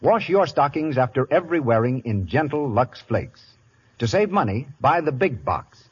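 Formal 1930s radio announcer. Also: male voice, medium pitch, big pitch swings, moderate pace. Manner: smooth, formal announcer delivery. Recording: dull 1930s radio broadcast with faint music.

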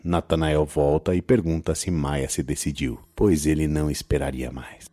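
Very deep male horror narrator. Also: fairly even intonation, normal speed, mellow, dry recording, clean studio sound. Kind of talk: dramatic scripted horror story narration.

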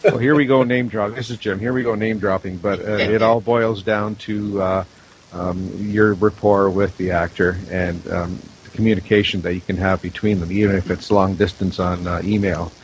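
Guy w a lowish voice chatting on a panel, kinda muffled hissy recording. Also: fairly even intonation, moderate pace. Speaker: audio drama producer and director, panel guest. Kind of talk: casual panel discussion. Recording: dull lo-fi audio with clear hiss.

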